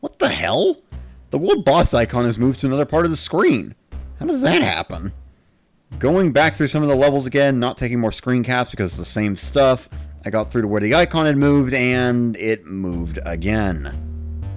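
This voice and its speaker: A man with a mid-pitched voice narrates in the first person. His delivery is expressive and dramatic, with lively swings in pitch. Soft music runs behind him. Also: moderate pace. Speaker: narrator of a dramatic reading series.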